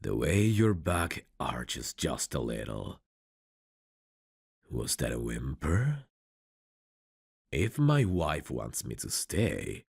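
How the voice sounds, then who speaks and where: A man acting a courteous, tender mafia bridegroom in an intimate ASMR romance roleplay, soft-spoken and flirtatious with a husky, gravelly voice. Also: a bass voice, dry recording, clean studio sound, heavily acted.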